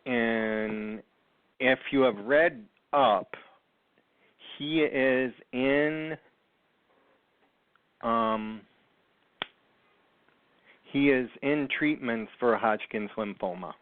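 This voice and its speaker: Slow, halting man on a phone line. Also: medium pitch, dry recording. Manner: slow, halting, many ums. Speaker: host. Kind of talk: casual radio chat. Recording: tinny phone line, dry.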